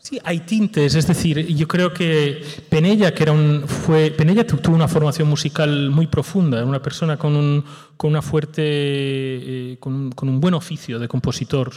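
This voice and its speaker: A man's voice: fairly high pitch, steady tone, normal speed, a little mellow. A man serving as music director on a post-show panel, speaking thoughtfully, with frequent hesitant restarts. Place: theater stage or hall. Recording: reverberant hall.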